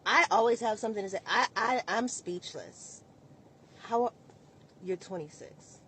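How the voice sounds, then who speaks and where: A woman of forty-one giving a casual reaction, baffled and halting in her delivery. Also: mid-range voice, fairly bright tone, slight background noise.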